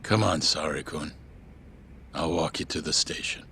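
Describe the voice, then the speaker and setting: Low-voiced fatherly older boss. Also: unhurried, over music. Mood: somber, caring. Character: gruff, fatherly older male law firm boss. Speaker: video game voice actor. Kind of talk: English dub cutscene acting.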